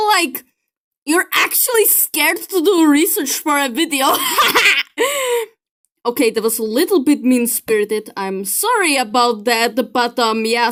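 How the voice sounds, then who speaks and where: A woman doing reaction commentary on a video, with a sarcastic, teasing manner that slips into apology. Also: sits high.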